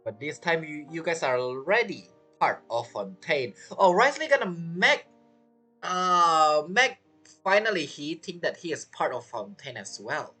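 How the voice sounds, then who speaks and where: Lively male game streamer. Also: a little high, measured pace. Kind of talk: casual game commentary. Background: game music.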